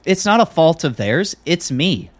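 A man's voice: a little high, sing-song, measured pace. A man hosting a podcast in a casual, conversational chat style. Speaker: podcast host.

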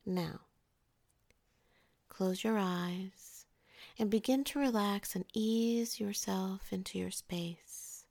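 A woman narrating a scripted guided meditation in a soothing, measured way, softening the ends of her phrases. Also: medium pitch, gravelly.

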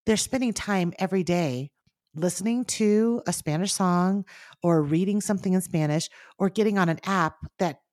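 Earnest animated woman co-host. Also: fairly low pitch, normal speed, no room sound, clean studio sound. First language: Mandarin. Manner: earnest, animated, speeds up in bursts. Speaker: podcast co-host. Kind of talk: casual faith podcast chat.

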